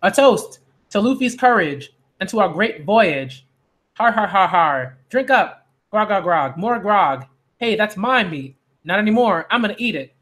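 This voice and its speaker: A man voicing rowdy, high-pitched pirate crewmen. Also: lively intonation, unhurried, fairly bright tone. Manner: boisterous, jolly. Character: rowdy, carefree grog-loving male pirate crewmen.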